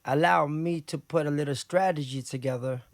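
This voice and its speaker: Brooklyn guy, rapper guest, slightly high voice, rambling, restarts a lot, dry close mic. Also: no room sound. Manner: rambling, halting with many restarts and "you know what I'm saying". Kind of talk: casual interview chat.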